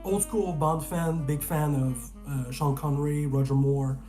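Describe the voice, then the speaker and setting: A man's voice: fairly high pitch, steady tone, unhurried, dark tone, soft background music. A man from Canada, a guest reviewer in casual film chat, talking animatedly, often restarting sentences and swearing.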